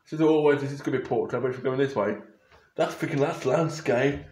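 A man's voice: mid-range voice, fast talker, darkish tone. Rambling young man in an echoey bedroom. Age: young adult. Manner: rambling, restarts and self-corrections. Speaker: YouTuber reacting to photos. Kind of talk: rambling casual vlog commentary. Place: small bedroom indoors. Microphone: webcam or phone camera at close range.

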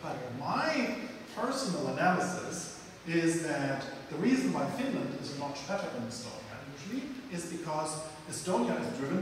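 A man's voice: fairly high pitch, noisy background. A middle-aged man, a guest lecturer answering questions with blunt, emphatic opinions into a handheld microphone in a large lecture room. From Germany. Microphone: handheld mic. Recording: echoey hall from far off.

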